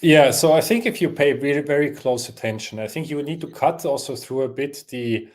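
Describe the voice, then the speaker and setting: A man from Switzerland answering interview questions, pausing with many fillers and restarts. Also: medium pitch. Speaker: interview guest.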